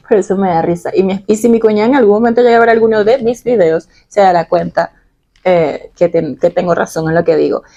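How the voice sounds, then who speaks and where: Low creaky Venezuelan woman, chatty. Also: a little fast, warm tone, highs cut off. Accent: Caracas Venezuelan. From Venezuela (Caracas). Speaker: vlogger answering personal questions. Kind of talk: casual, chatty personal vlog.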